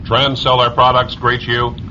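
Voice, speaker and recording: An insistent automated advert in a radio drama. It is completely monotone and bright, slightly dull in fidelity with faint noise behind. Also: male voice, medium pitch, measured pace. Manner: flat, salesy. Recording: dull recording with cabin noise.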